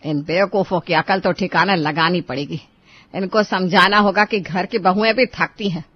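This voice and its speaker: A woman voicing a shrewd, determined mother-in-law who speaks firmly in an animated moral story. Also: lowish voice, moderate pace, slightly rough, no echo, muffled recording. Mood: indignant. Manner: firm, instructive.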